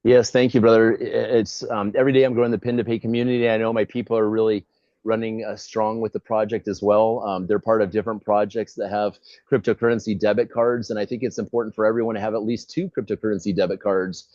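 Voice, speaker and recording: A man hosts an interview over a video call, rambling enthusiastically and filling pauses with ums. His voice sits on the low side. The audio is dull and lo-fi with a dry, close feel. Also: flat delivery, a little mellow, dry recording. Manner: rambling, many filler sounds, enthusiastic. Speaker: host. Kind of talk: casual interview chat. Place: indoors, Bali.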